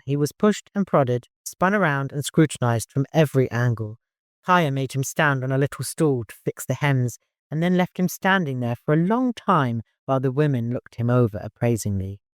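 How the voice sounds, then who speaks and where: A man's voice: medium pitch, no room sound, studio quality. A man reading scripted fiction as an audiobook narrator.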